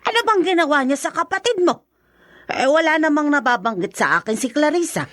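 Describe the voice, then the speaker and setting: A woman's voice: high-pitched, expressive, a little fast, fairly bright tone, no echo. A woman playing an anxious middle-aged mother in a radio drama. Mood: worried. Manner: anxious, pleading.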